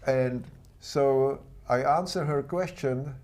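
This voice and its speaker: Czech accent guy, earnest, bit halting english, mid pitch voice. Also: darkish tone. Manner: earnest, halting non-native phrasing. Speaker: guest author. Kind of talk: interview storytelling.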